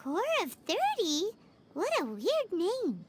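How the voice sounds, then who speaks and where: Very high squeaky fairy companion. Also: female voice, huge pitch swings, slow, full character voice. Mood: puzzled. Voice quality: squeaky, childlike. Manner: refers to self in third person, exclaims. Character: tiny childlike floating fairy companion, female, excitable and blunt. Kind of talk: video game voice acting. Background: music.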